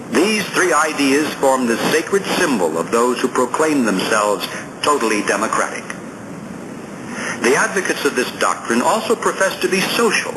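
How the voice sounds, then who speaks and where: A man's voice: mid-range voice, noisy background. A man reading scripted nonfiction as an audiobook narrator, in a formal, emphatic, oratorical manner.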